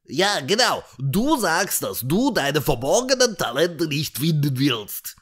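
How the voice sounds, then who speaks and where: A man's voice: fairly high pitch, sing-song, slow pace, a bit bright, pitched above the performer's own voice, heavily acted. A man voicing an anxious, scheming male guinea pig who talks in an urgent, coaxing way. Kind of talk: comic audiobook voice acting.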